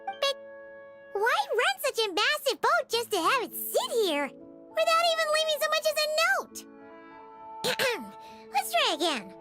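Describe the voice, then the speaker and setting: A woman voicing a small, chirpy, talkative fairy-like girl companion with a childlike squeak in a video game cutscene. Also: wildly swooping pitch, a little slow, pauses often, over loud music, full character voice. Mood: curious. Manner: speaks of herself in third person, exclamatory.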